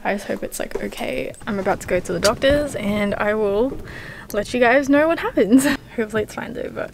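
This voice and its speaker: A woman's voice: on the high side, brisk, vocal fry, a crowd in the background. A young woman in her twenties chatting casually to a phone camera, full of fillers, filming a personal vlog from her car and bedroom. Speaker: vlogger. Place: car, bedroom and hospital room. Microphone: phone camera at arm's length.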